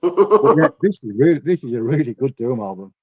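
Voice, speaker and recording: A man in his forties chatting casually on a video call and talking about albums in a relaxed way. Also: medium pitch, a little fast, no echo, phone-quality sound. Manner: laid-back, talks through records. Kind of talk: casual chat. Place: home room with a dark curtain behind. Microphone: webcam video call.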